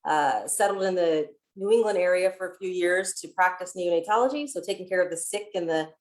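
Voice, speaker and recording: Lower-pitched American woman on a panel. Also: a little fast. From Ohio, United States. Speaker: public health doctor, panelist. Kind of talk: informal self-introduction on a panel.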